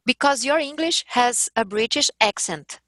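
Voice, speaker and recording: Lady, fairly high voice, halting english, dry close sound. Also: lively intonation, dry recording. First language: Portuguese. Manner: warm-hearted praise, halting with fillers.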